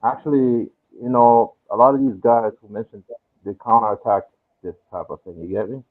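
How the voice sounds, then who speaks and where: A man's voice: mid-range voice, fairly quick. Man on a tinny phone-like line. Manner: relaxed, thinking aloud with many fillers. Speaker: guest. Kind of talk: casual chat.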